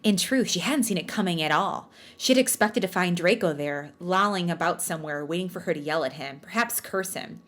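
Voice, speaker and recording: A woman with a slightly low voice hosts a comedy podcast, reading stories aloud. She moves between narration and bantering, self-deprecating asides in a casual way. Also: a little fast, hardly any pauses, a bit bright. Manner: jokey, self-mocking, bantering. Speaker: podcast host reading fan fiction aloud. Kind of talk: storybook-like read-aloud with casual joking asides.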